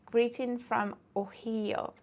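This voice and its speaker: A woman's voice: a little high, little pitch movement, slow pace, darkish tone. A woman from Iran who streams about fitness, chatting casually with viewers in a rambling way and often breaking off to greet commenters.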